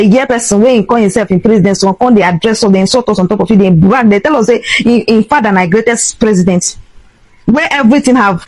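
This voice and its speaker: Casting: Nigerian woman, rapid emphatic delivery, impassioned vlog rant mixing Pidgin and English, dry recording. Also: fairly high pitch, dry recording, rough recording. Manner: emphatic, indignant, switching between English and Pidgin. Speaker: social media vlogger.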